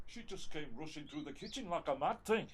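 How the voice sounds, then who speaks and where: A man's voice: a little high, expressive, brisk, a little hiss or hum, lightly acted. A man playing a working-class husband in an audio play, with a colloquial, homely, coaxing way of talking. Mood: relieved, chatty.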